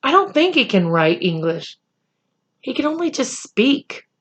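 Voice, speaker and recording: Secretive storybook schoolboy played by a woman: lifted pitch, earnest, short evasive replies. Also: big pitch swings, measured pace, fairly bright tone, husky, highs cut off, heavily acted. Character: guarded, earnest schoolboy keeping an exciting secret.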